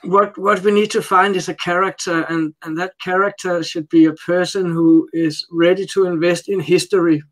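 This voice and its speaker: A man from Denmark with a Danish accent speaking English as a second language in a casual interview, often searching for his words. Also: high-pitched. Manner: halting, searches for words while translating. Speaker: interview guest. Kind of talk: casual unscripted interview talk.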